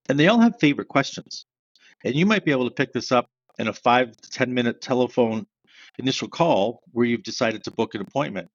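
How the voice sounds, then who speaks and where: A man from Canada, a sales trainer who is a guest on an interview podcast, explaining ideas step by step with examples. He describes himself as a loud, fast talker. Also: on the low side, dry recording. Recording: dry, slightly dull sound.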